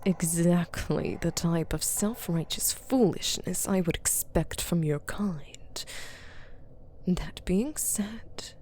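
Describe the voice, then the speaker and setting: A woman voicing a stern, taunting female demon captor in an ASMR roleplay, authoritative and teasing, often dropping to a breathy murmur. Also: lowish voice, dark tone, a little hiss or hum. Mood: intrigued, murmuring. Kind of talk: ASMR roleplay monologue to the listener.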